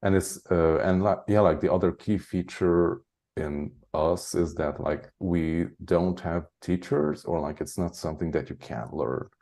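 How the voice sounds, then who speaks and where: Man, deep and dark-toned, loose rambling interview guest who chuckles often. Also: measured pace, creaky. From Hungary. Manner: rambling, heavy use of "like", frequent chuckles. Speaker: guest, spiritual channeler. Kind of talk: casual interview chat.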